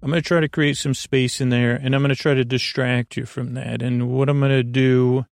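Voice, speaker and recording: A man with a creaky voice hosts a sleep podcast, rambling through a bedtime monologue with halting repetitions and drawn-out words. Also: mid-range voice, level tone, dry recording. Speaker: podcast host. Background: music.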